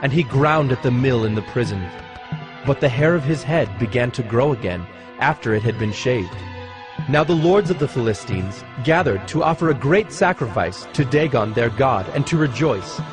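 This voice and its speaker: A man's voice: mid-range voice, expressive, moderate pace. A man giving scripted narration for a dramatized scripture recording, with music behind him.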